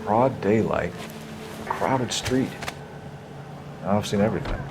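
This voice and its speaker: A low-voiced young policeman in a crime drama game. He is clipped, earnest and methodical, stating things matter-of-factly. Loud music plays behind him. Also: lively intonation, takes it slowly. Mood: sober. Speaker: police officer.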